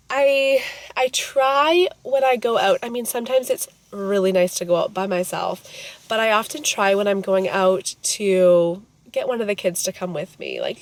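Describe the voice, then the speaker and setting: A woman in her thirties, hoarse from a cold, chatting casually and rambling as she vlogs her day into a handheld camera. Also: medium pitch. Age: 30s. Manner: chatty, rambling, self-correcting. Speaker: vlogger and mother. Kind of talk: casual vlog chat.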